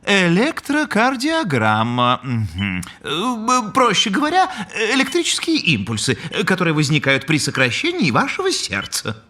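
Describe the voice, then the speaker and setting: High-pitched, swooping, fussy old cartoon scholar. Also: male voice, wildly swooping pitch, normal speed, few pauses, sharp tone, no room sound, full character voice. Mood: didactic. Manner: formal, didactic, fussy wording. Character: pedantic older male cartoon animal scholar. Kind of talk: expressive educational cartoon acting.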